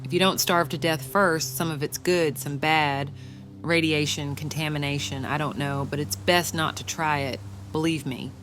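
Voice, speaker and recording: A woman's voice: low-pitched, fairly even intonation, moderate pace, fairly bright tone, soft background music. A woman playing a desperate, guarded young mother surviving the apocalypse in an audio comic. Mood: wary. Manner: pleading, guarded.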